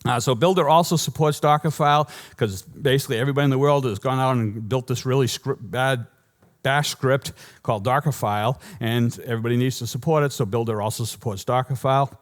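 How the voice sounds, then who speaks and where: A man's voice: medium pitch, brisk. Male tech speaker, Boston accent, conversational and wry, mid-range voice, prone to restarts. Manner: lively, joking, rambling with self-corrections. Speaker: conference speaker. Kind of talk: technical conference talk. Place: conference hall before an audience.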